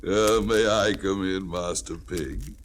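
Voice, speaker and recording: Sly male storybook wolf. Deep, slow, falsely friendly, vintage children's record with background noise. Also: fairly even intonation. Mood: sly, coaxing. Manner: coaxing, then blustering. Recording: noisy old 1960s children's record.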